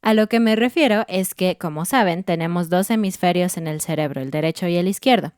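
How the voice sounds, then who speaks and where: Smooth-voiced woman explaining clearly. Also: fairly low pitch, brisk, a little mellow, no echo, studio quality. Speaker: podcast co-host. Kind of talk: educational podcast chat.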